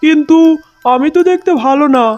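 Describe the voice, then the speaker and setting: A woman's voice: sits high, fairly quick, darkish tone, muffled recording, soft background music, voice pushed down, close to an everyday voice. A woman playing a greedy, lovesick and scheming male fox in a cartoon, in a theatrical manner. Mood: wistful. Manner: theatrical, gushing. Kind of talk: cartoon voice acting.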